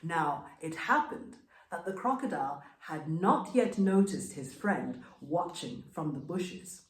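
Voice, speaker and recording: Female storyteller for folktales: lively swooping intonation, unhurried dramatic phrasing, echoey room. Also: low voice. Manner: dramatic, expressive, deliberate phrasing. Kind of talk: scripted folktale narration.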